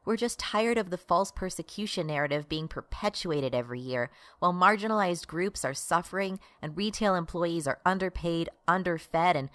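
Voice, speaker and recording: A woman as a low, wry protesting worker. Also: normal speed, few pauses, fairly bright tone, no echo, natural voice. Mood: indignant. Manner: earnest, sardonic. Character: weary, wry retail worker turned protest rebel. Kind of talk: comic radio drama acting.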